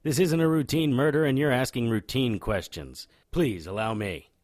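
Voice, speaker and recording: A man's voice: medium pitch, moderate pace, a little mellow, close to an everyday voice. A man playing a cocky, wisecracking occult private investigator in an audio drama, wry and irreverent in noir style. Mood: cocky probing. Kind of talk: audio drama dialogue and first-person narration.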